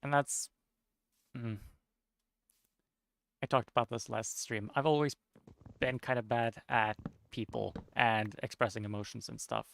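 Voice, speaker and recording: A young man with a Norwegian accent, chatting casually while streaming a game, somewhat monotone and mumbling with unclear diction. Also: mid-range voice, a little slow, creaky, pauses often, slight background noise. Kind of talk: casual chat while gaming.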